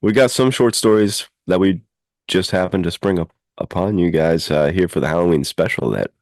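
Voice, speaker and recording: A man's voice: sits low, normal speed, a little mellow, no echo. A man co-hosting a podcast in casual chat, with an informal, effusive, enthusiastic way of talking. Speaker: podcast co-host and fiction writer.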